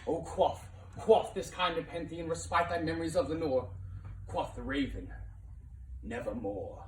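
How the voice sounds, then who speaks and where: A man giving a dramatic poetry reading as narrator, theatrical in manner, swelling from hushed to impassioned delivery. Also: fairly high pitch, unhurried. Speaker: narrator reading a poem aloud. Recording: roomy, distant mic with faint noise.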